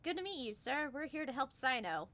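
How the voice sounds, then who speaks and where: A woman's voice: high-pitched, sing-song, fairly quick, sharp tone, slight background noise. A woman streaming a game live, chatting casually, reacting and reading the dialogue along aloud.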